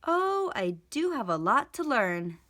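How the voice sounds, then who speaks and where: A woman's voice: fairly high pitch, very animated, a little slow, a bit bright, voice pushed up. A woman voicing a cheerful, naive, literal-minded housemaid in a children's storybook, with an earnest, folksy, exclamatory way of talking. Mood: earnest, puzzled.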